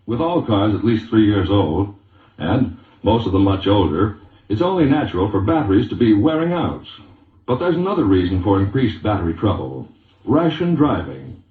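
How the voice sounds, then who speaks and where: Low-voiced 1940s radio adman, muffled. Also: big pitch swings, moderate pace, echoey room, far from the mic. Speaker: commercial announcer.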